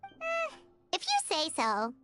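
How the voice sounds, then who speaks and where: A woman's voice: very high voice, wildly swooping pitch, slow, music in the background, full character voice. A woman voicing a small, chirpy, naive fairy companion in an anime game, speaking in an exclamatory way. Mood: doubtful. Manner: refers to self in third person, exclamatory.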